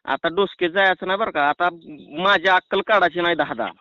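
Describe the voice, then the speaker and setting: High-pitched man, rural Maharashtrian accent, cheeky rambling prank caller, bright edgy voice, muffled phone recording. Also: a little fast, no echo. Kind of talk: bantering phone argument. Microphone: phone call.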